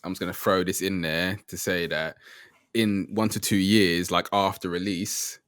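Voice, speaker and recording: A man's voice: deep voice, fairly even intonation, normal speed, a bit bright, clear, resonant tone, no echo, studio quality. A man co-hosting a game podcast, talking casually and hesitantly, often trailing off. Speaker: podcast co-host. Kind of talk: casual chat.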